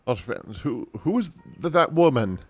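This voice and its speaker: A man voicing an anxious, lovesick young noblewoman from a fantasy game, speaking haltingly with stammers. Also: mid-range voice, sing-song, slightly rough, no room sound, soft background music, pitched above the performer's own voice. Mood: indignant.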